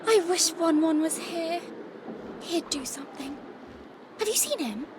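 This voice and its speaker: A woman's voice: extremely high pitch, lively intonation, a little slow, background noise. A woman voicing a clingy, lovesick British teenage schoolgirl in a video game, whiny and gushing. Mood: exasperated.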